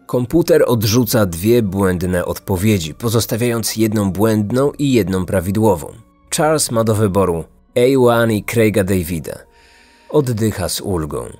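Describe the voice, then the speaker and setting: A man's voice: fairly low pitch, lively intonation, measured pace, darkish tone, faint music behind. A man narrating a scripted true-crime story as a podcast host.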